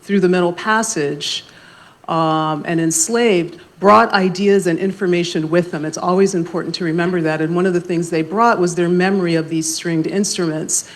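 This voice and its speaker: Soft-spoken female moderator, lower voice, measured delivery with pauses, at a live library event. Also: fairly even intonation. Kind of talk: panel discussion opening talk. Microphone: handheld mic.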